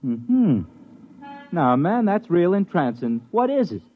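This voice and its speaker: Thin vintage-radio hipster deejay, swooping pitch. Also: male voice, very animated, phone-quality sound, exaggerated voice. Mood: curious, wry. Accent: American. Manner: laid-back hipster, hesitant drawn-out chattiness. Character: hip, jazzy male disc jockey spinning a yarn. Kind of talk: 1950s radio drama monologue, beatnik jive. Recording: thin old radio recording, music behind. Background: music.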